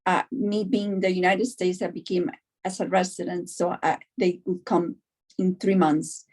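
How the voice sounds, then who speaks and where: Middle-aged Cuban-born woman, halting conversational delivery. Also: on the low side, level tone, a little mellow. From Cuba, later Florida. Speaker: doctor, interview guest. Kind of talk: conversational personal account.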